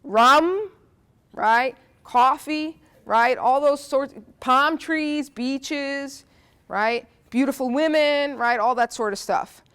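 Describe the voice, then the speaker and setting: A woman's voice: high-pitched, takes it slowly, a bit bright. Stuffy-nosed woman lecturing a class. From New Jersey, United States. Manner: chatty, asks the audience questions, frequent "uh" and "um". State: stuffy nose from allergies. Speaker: history professor giving a guest lecture.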